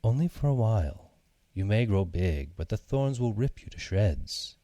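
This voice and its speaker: A calm, practical storybook cat played by a man, low in pitch. He speaks bluntly and with measured care. The recording is dry and close. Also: no room sound. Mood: warning.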